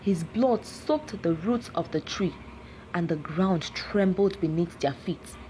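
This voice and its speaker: Slow, mellow woman storyteller. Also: lowish voice, expressive, a little hiss or hum, rough recording. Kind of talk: dramatic scripted folktale narration.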